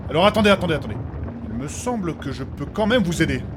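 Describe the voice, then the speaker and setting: A man's voice: a little high, expressive, fast, slight background noise, close to an everyday voice. A man playing a learned, formal and fussy scholar of magic in a comic fantasy audio drama, precise and prone to repeating himself. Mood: earnest, explanatory. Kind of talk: French fantasy comedy audio fiction acting.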